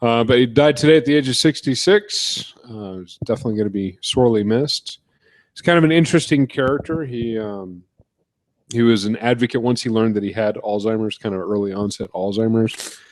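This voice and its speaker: Low-voiced man chatting through the news. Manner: chatty, drawn-out with ums and uhs. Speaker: podcast co-host. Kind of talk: casual chat, reading out news. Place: couch in a home studio. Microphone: close studio microphone.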